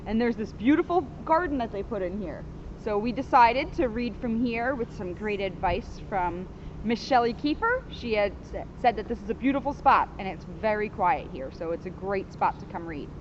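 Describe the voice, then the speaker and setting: A woman's voice: mid-range voice, animated, moderate pace. A woman police officer reading a children's book aloud outdoors, expressively, with chatty asides. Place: outdoors in a sunny spot by a school. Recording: dull, faintly noisy outdoor recording.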